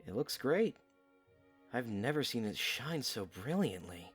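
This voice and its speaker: A young man in an audio drama, voiced by a male actor, speaks with lively, shifting pitch. He is teasing but keeps his guard up. Music plays behind him. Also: a little slow, breathy edge. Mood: teasing, casual.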